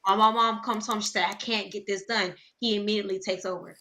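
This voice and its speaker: Bright-voiced young woman, animated storytelling. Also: medium pitch. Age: 20s. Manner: animated storytelling, quick asides, laughs often. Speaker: podcast co-host. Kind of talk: casual chat.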